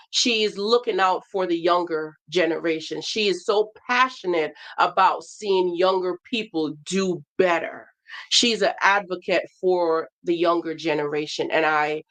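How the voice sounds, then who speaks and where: A woman hosting a livestream, introducing a guest and talking directly to her viewers in an encouraging way. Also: on the low side. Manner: warm encouraging introductions, addresses viewers as guys. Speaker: livestream host. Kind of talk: casual livestream chat.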